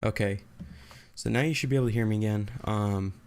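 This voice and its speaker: A man hosting a casual, unscripted software tutorial, thinking aloud in a halting way as he goes. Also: on the low side, clear, resonant tone.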